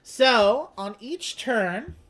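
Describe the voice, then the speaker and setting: A board game host talks through the rules in a medium-pitched voice. The delivery is casual and chatty, full of informal, enthusiastic asides. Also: animated, extremely slow, a bit bright.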